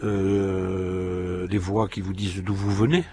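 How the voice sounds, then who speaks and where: A man with a southern French accent speaking slowly and reflectively. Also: low-pitched, little pitch movement, background noise. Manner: slow, reflective.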